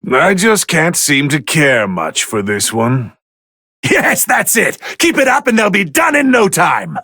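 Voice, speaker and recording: A man playing a haughty, bored god of destruction who commentates on video game fights in a condescending, sardonic, theatrical drawl with a gravelly edge. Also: on the high side, sing-song, a little slow, sharp tone, no room sound, studio quality, full character voice. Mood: amused approval. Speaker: match commentator.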